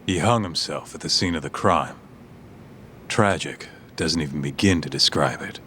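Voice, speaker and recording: A man's voice: rumbling low, moderate pace, sharp tone, a little hiss or hum. An actor playing a streetwise, loyal tough guy in a video game, frank, somber and plain-spoken. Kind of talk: dramatic game voice acting, storytelling.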